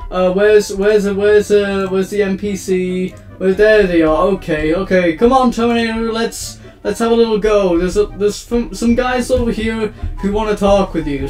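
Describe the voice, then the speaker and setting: An excitable young man talks over his gameplay in a high, light voice, rambling and breaking into panicked exclamations. His mic sits a little far off in a roomy space, and soft guitar music plays underneath. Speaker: gaming YouTuber. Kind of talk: casual gameplay commentary.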